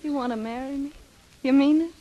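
A woman's voice: high-pitched, brisk, highs cut off, lightly acted. An actress playing a tough yet tender young American woman, plain-spoken and guarded, in a 1930s radio drama. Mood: tender, anxious. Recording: hissy, noisy 1930s radio broadcast.

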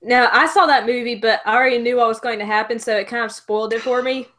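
A woman's voice: on the high side, steady tone, fairly bright tone. Chatty young woman on a headset. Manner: chatty and fast, nerdy enthusiasm. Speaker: host. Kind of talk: casual video-call interview. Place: bedroom with shelves of figures. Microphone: headset on a video call.

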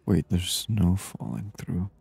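A man giving soft-spoken ASMR gameplay commentary in a hushed, unhurried murmur, at times near a whisper. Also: sits low, lively intonation, vocal fry, husky, dry recording. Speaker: gaming video host.

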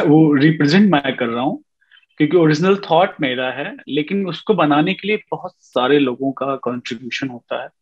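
A man in his thirties tells his story with animation, filling pauses as he goes. His voice sits slightly high, and the lo-fi video call audio sounds dull and muffled. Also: husky. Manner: animated storytelling, mixing Hindi and English, many fillers. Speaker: music composer guest. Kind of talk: casual interview on a video call. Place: indoor room at home. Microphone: webcam on a video call.

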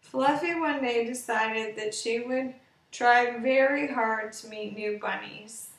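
Female storyteller, thirties, fairly high voice, bedtime story read for kids, slightly roomy home recording. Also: slow pace. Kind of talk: children's bedtime story read aloud, slow simple narration. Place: living room with sofa and window, indoors. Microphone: webcam at about arm's length or more. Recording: roomy, distant webcam sound.

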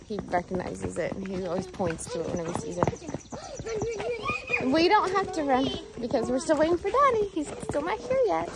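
A woman in her thirties narrating her family's travel vlog in a chatty, lively way, laughing often. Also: sits high, moderate pace, heavy background noise. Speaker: family vlogger, mother.

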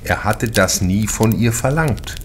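A man reading a crime novel as an audiobook narrator, in a measured, deliberate manner with careful pauses. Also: lowish voice, a little hiss or hum.

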